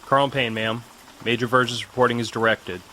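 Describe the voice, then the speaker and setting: An actor playing a dutiful male army major giving crisp, respectful replies. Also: mid-range voice, steady tone, fast talker, pushed and bright, a little hiss or hum, natural voice, poor audio.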